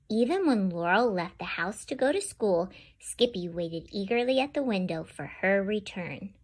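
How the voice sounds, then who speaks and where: A woman host reading a picture book aloud to children and talking straight to her young listeners. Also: mid-range voice. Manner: animated, talks directly to young listeners. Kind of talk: read-aloud storytelling for children.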